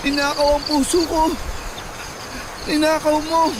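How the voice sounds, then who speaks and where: A man's voice: very high-pitched, flat delivery, unhurried, heavy background noise. Cheeky young male suitor. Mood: mock agony. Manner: teasing, coaxing, melodramatic. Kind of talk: radio romance drama acting. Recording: loud music under the dialogue. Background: loud music.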